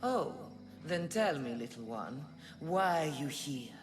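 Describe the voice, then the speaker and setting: Casting: imperious game Sphinx; low-leaning female voice, drawn-out, haughty, toying. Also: big pitch swings, full character voice. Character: Sphinx, imperious female monster guarding truth and knowledge. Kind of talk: video game cutscene acting. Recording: music behind the voice.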